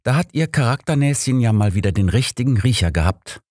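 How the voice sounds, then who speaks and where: Audiobook older doctor acted by a man: compassionate, earnest, emphatic, lively intonation. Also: medium pitch, a little fast, dry recording, studio quality, pitched above the performer's own voice, close to an everyday voice. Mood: teasing. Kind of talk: audiobook character dialogue.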